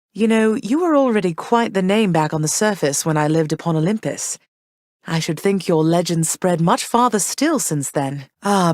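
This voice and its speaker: An actress voicing a gentle, gracious queen of the underworld who speaks to her son and household with warm, reassuring motherly care in game dialogue. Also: fairly low pitch, no room sound, clean studio sound, lightly acted. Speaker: game character. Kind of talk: game voice lines.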